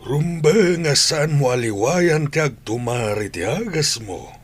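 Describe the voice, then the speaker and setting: Husky, stern old Ilocano grandfather played by a man. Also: a little high, expressive, slow, heavily acted. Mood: concerned. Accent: Ilocano Filipino. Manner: admonishing. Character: stern, concerned old grandfather scolding his gambling daughter. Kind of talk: radio drama acting.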